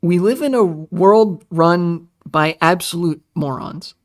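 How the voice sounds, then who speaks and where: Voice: mid-range voice, slow pace. A political streamer giving casual commentary in a ranting, profane, sarcastic way. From United States.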